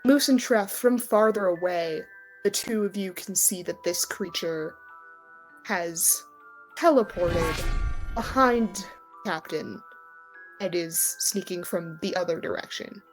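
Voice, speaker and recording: A woman game master narrating a tabletop game in halting, deliberate phrases. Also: on the low side, faint music behind, poor audio.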